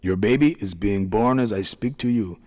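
Guy doing a soothing little-people chief, lowish gentle voice, echoey room. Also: normal speed, natural voice. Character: kind tiny middle-aged leader of little people.

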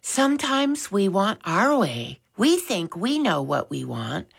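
A woman reads a mother's lines, lifting her voice a little higher than her own. She speaks in an earnest, sincere way. The tone swings widely at a slow, steady pace. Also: vocal fry, breathy edge, full character voice.

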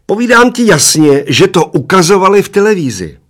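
Shrill, excitable little old man. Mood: shrill insistence. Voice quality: shrill, screechy. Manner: yelling, whining, insistent. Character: tiny excitable old man, shrill stubborn pub bickerer.

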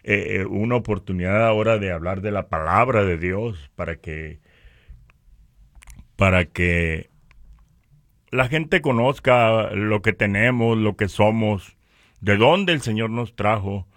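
A man from northern Mexico with a Mexican accent, giving a conversational religious testimony in a halting way, repeating words. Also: fairly low pitch, fairly bright tone, dry recording, studio quality.

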